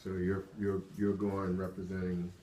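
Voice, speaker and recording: A man's voice: low-pitched, completely flat delivery, moderate pace, dark tone, a little hiss or hum. A man at a meeting asking probing, practical questions in a casual way.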